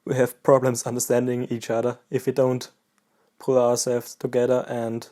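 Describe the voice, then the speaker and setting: A man from Austria speaks English with an Austrian German accent in a relaxed, halting chat to his viewers. His voice is mellow and dark, and his pitch stays flat, with little rise or fall. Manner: halting, relaxed, pauses mid-sentence. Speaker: pipe-smoking vlogger. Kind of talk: casual chat to camera.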